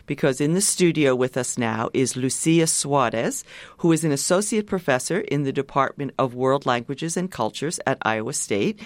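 Radio host introducing and questioning a guest. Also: mid-range voice. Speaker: radio talk show host. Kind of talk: interviewing a studio guest.

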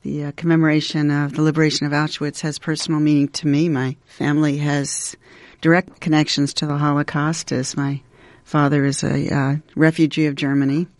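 A man presenting on radio, giving a measured, solemn spoken introduction. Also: on the high side, level tone, dry recording. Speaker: radio host.

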